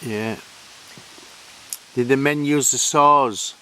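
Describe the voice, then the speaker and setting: Halting Sheffield man calling out to spirits. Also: medium pitch, sharp tone, slight background noise, poor audio. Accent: Sheffield Yorkshire. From Sheffield, England. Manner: hesitant, halting, many fillers. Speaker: paranormal investigator. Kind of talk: calling out to spirits on a ghost hunt. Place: outdoors at a woodland waterfall site.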